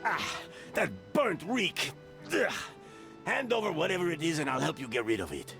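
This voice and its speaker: Gravelly, sneering, impatient grump. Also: male voice, a little high, sing-song, normal speed. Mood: contemptuous, impatient. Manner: sneering, curt. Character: gruff, contemptuous, impatient man. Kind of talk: video game character acting. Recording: loud music underneath. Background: game music.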